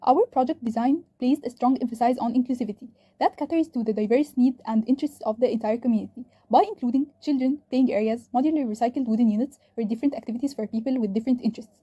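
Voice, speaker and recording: High, fast, husky young woman. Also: warm tone, muffled recording. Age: 20s. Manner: earnest, reads from a script. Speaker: student presenter. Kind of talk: scripted presentation.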